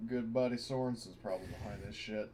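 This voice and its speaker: A man's voice: lowish voice, fast talker, dark tone, lightly acted. A man playing an irritable, blustering, folksy ship captain in an improvised tabletop game. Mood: defensive bluster. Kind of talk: improvised tabletop role-play acting. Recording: clearly noisy online voice call.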